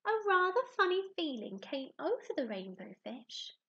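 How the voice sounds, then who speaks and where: Woman, thirties, high swooping pitch, expressive storytime delivery for little children. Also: moderate pace, highs cut off. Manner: expressive, addressing children with questions. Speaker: primary school teacher. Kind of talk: storytime reading for young children.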